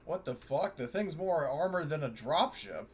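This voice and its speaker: A man playing a tabletop game over a voice call, chatting casually in a halting, sweary way. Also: on the high side, lively intonation, a little mellow. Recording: noisy online voice call.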